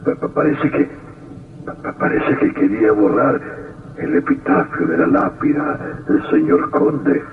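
A man's voice: on the low side, animated, a little slow, creaky. An actor playing a frightened, distraught man, faltering and agitated, in an old horror radio drama. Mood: shocked, fearful. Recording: distant, echoey, muffled old radio.

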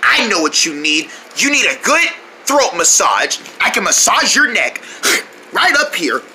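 Someone doing a bossy cartoon buddy guy, lower voice, blunt n emphatic, swings all over, bit of background noise. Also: sing-song, a little slow, pushed and bright, exaggerated voice. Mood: coaxing. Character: bossy, exasperated male pal of a cartoon creature.

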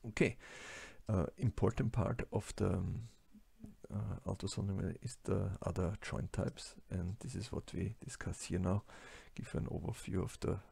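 A man with a German-speaking accent giving a slide lecture, halting with many fillers and restarts. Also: low voice, steady tone, creaky, dark tone, breathy edge, dry recording. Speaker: lecturer on plastics engineering.

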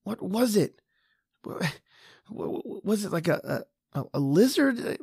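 A woman's voice: mid-range voice, big pitch swings, slow pace, vocal fry, dark tone, slightly rough, no room sound, clean studio sound, exaggerated voice. A woman playing a frightened, injured man hiding in a tunnel, stammering and panicky, in an old-time radio drama. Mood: tense, hushed.

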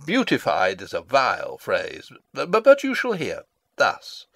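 Pompous old counsellor, wildly swooping delivery. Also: male voice, medium pitch, huge pitch swings, unhurried, vocal fry, bright, forceful tone, no room sound, heavily acted. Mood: theatrical, declaiming. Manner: rambling, self-satisfied, theatrical. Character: pompous, long-winded old male royal counsellor and father. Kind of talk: classical Shakespearean stage acting, dramatic reading.

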